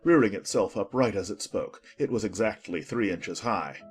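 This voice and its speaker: A man's voice: lowish voice, lively intonation, moderate pace. A man narrating a dramatized children's storybook in a scripted audiobook style.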